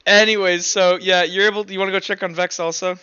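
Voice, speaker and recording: A man narrating a tabletop game as game master in a casual, improvised way, informal and halting as he thinks aloud. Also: sits high, little pitch movement, sharp tone, lo-fi sound. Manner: informal, swearing, hesitant while improvising.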